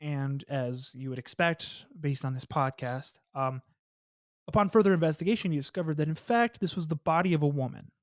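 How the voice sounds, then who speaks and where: A young man with a slightly high, slightly hoarse voice chats loosely on a close, dry studio mic. He rambles, restarts his sentences and drops casual swear words. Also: normal speed, dry recording. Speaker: podcast host. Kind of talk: casual podcast chat. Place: small home studio with red lighting. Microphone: studio mic with headphones, on camera.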